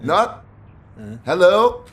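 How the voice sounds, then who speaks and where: A brash, quick-witted investigator. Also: male voice, high-pitched, expressive, full character voice. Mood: brash, impatient. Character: scruffy private investigator bluffing as an American executive, quick-witted. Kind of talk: radio crime drama acting.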